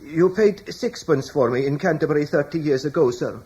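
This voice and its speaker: An actor playing a middle-aged household slave in a radio drama, formal, deferential and earnest. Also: male voice, on the high side, moderate pace, a little mellow, husky. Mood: dignified. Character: loyal, dignified male British slave, older father.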